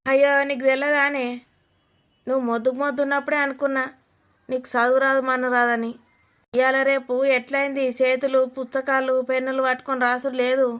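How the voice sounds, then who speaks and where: A woman's voice: sits high, level tone, brisk, fairly bright tone. A woman playing a blunt, nosy older village mother-in-law with a Telangana Telugu accent, scolding and mocking in a comedy cartoon. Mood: chatty, bossy.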